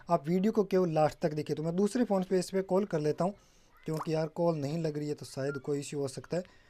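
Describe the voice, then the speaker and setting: A man's voice: on the high side, mellow. A man presenting a casual step-by-step tech tutorial, often addressing viewers as guys and mixing in English terms.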